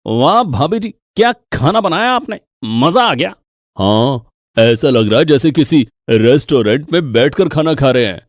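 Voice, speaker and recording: A woman's voice: deep voice, expressive, measured pace, no echo, clean studio sound, pitched below the performer's own voice. A woman voicing emphatic men of the household in a cartoon family drama. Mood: delighted. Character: men of the household, husbands and hungry guest.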